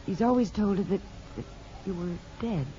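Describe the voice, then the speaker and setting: A woman's voice: mid-range voice, normal speed, warm tone, slight background noise, close to an everyday voice. An American woman playing a sympathetic, candid married aunt, speaking expressively and confidingly in 1950s radio drama style. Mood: sympathetic, rueful. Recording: muffled old radio broadcast.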